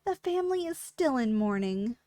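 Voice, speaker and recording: A woman's voice: on the high side, big pitch swings, moderate pace, warm tone, no room sound. A woman playing a polite, businesslike shop clerk in a scripted ASMR roleplay, with formal sales patter and dry understatement. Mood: dry, matter-of-fact. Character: polite, businesslike female shop clerk selling dangerous yandere girls.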